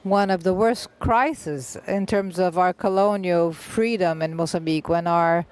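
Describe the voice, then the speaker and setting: A live interpreter carries a festival panel discussion into English. The delivery comes in short, halting phrases broken by frequent filled pauses and self-corrections. The pace speeds up and slows down unevenly, and the voice sits at a middle pitch. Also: clear, resonant tone.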